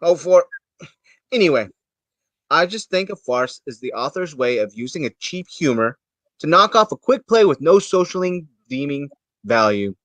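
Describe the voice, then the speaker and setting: Woman as a low-pitched, blunt grumpy man. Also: sing-song, moderate pace, no echo. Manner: blunt, curmudgeonly. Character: grumpy, opinionated, folksy man in amateur theater group. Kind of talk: comic staged play reading.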